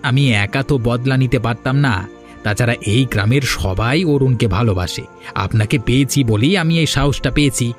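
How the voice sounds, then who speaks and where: Young village schemer, acted by a man, medium pitch, resentful, hesitant, grumbling, cartoon drama, music bed. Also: brisk, natural voice. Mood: bitter. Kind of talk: Bengali cartoon voice acting.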